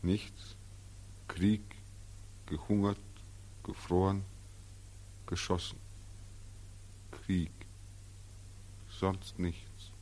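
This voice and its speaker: Young war returnee, bitter and desperate, brisk delivery, 1940s radio play acting, weary anguish. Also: male voice, deep voice, flat delivery, a little mellow. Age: young adult. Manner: terse and weary, flaring into anguished pleading. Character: bitter, desperate 25-year-old returned soldier. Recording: 1940s radio drama recording.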